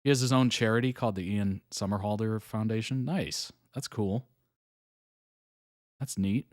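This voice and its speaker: Easygoing low-voiced man musing aloud. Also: moderate pace, no echo, studio quality. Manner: easygoing, musing, frequent fillers and chuckles. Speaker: reaction video host. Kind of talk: casual live reaction chat.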